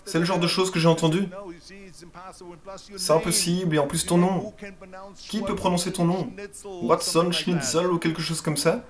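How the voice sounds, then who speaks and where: A man's voice: a little high, steady tone, normal speed, pauses often, a crowd in the background. A man reading a first-person motivational speech as a dubbed voice-over, in an earnest and emphatic way. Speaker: voice-over translator.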